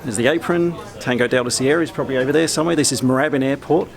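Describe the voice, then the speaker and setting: A man's voice: medium pitch, racing, gravelly. A man, hosting a casual vlog and asking questions on location. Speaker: vlog host and interviewer.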